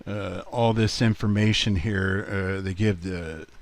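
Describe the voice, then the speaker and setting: A man with a slightly low voice presents a casual on-screen tutorial. He talks very slowly and haltingly, explaining things step by step in an unhurried way. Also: steady tone, dry recording. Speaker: video creator and presenter.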